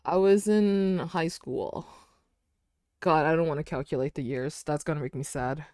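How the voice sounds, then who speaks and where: A woman's voice: low voice, steady tone, moderate pace, warm tone, no room sound, clean studio sound. A woman in her twenties chatting casually while drawing on a stream, rambling, laughing often and saying "dude" and "like". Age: 20s.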